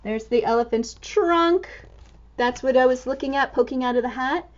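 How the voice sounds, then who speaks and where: A woman storyteller reading a picture book to young children in an animated, exclamatory way, full of expressive wonder. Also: high voice, moderate pace, highs cut off. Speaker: storytime reader and teacher.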